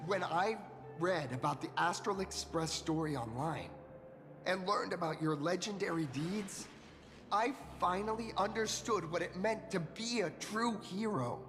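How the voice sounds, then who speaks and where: A man's voice: high-pitched, measured pace. A man playing an earnest, self-doubting young rookie hero in a video game, speaking in a humble, hesitant manner. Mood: hesitant, confessional. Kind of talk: animated game voice acting. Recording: long reverb, clear music behind.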